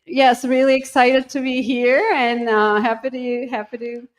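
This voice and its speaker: A woman's voice: fairly high pitch, flat delivery, a little slow. A middle-aged woman with a Turkish accent, a university dean talking casually in an interview, thoughtful and hesitant with many ums and restarts. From Turkey.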